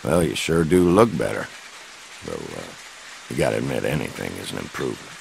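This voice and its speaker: A very deep-voiced middle-aged Southern American man in a dramatic game, kindly, teasing and devoted. His speech is folksy and fumbling, with pitch that swings widely, over faint rainy ambience. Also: sing-song, gravelly, noisy background, full character voice. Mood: awkward, teasing. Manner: folksy, halting, fumbling for words. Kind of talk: naturalistic dramatic game dialogue.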